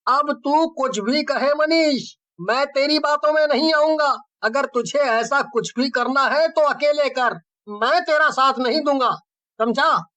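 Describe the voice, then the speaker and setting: An earnest, cautious young village sidekick from a cartoon story, played by a man. His voice is very high and bright, and he exclaims as he speaks. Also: a little slow, exaggerated voice. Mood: defiant.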